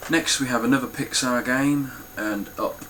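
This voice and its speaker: A man's voice: mid-range voice, steady tone, moderate pace, fairly bright tone, a little hiss or hum, poor audio. A man with a stuffy, nasal voice giving a casual, blunt spoken review of his game collection, with frequent ums and some swearing.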